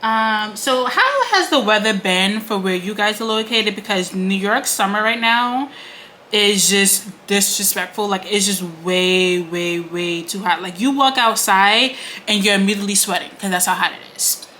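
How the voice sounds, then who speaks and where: A woman's voice: mid-range voice, moderate pace, sharp tone, a little hiss or hum. Chatty woman in her late twenties. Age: late 20s. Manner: chatty, frequent "like" and "um" fillers. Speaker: beauty vlogger. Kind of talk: casual makeup tutorial chat. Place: home, indoors.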